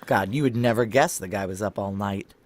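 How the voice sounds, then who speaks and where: A woman's voice: rumbling low, a little fast. An actress playing a tough, sardonic, profane female military security chief in a noir audio drama, blunt and wry. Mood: wry, weary. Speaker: lead actor and narrator.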